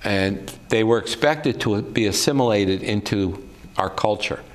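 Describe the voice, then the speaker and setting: Older guy with a lowish voice giving his opinions on a talk show, chatty and direct. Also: measured pace. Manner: opinionated, conversational. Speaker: show co-producer and guest. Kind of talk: political talk show discussion.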